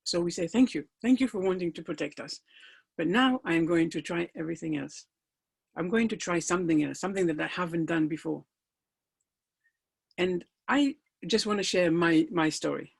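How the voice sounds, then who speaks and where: A man's voice: a little high, moderate pace, creaky, husky. A middle-aged man, a life coach whose first language is not English, telling his personal story in an earnest, motivational talk with emphatic rises.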